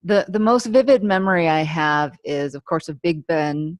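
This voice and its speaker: Low-voiced woman podcast host. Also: dry recording. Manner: measured reading, then laughing, animated questions. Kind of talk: read introduction, then casual interview chat.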